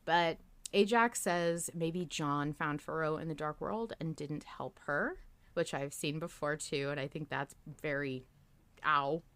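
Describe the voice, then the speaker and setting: A woman's voice: deep voice, unhurried, a bit bright, clean tone. A woman co-hosting a podcast, chatting informally about fan theories and reading listener comments, with plenty of "like" and mild swearing. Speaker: podcast co-host.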